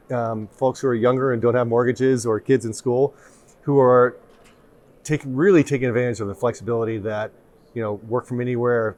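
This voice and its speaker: A middle-aged man answering interview questions in a conference studio. He speaks in a plain, matter-of-fact way with an optimistic lift, his pitch sitting in an ordinary middle range. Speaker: company CEO interviewed. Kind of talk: conversational interview.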